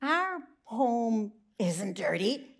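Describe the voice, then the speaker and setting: A woman's voice: on the high side, very animated, very slow, vocal fry, exaggerated voice. A woman voicing a mother duck from a children's storybook, with quacking, plain-spoken lines. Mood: indignant. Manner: quacking, complaining. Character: grumpy mother duck, protective of her home.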